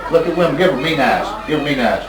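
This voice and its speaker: A man's voice: a little high, animated, fast talker, very noisy. A man chatting casually and playfully, coaxing someone along. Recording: echoey hall, distant mic, loud crowd.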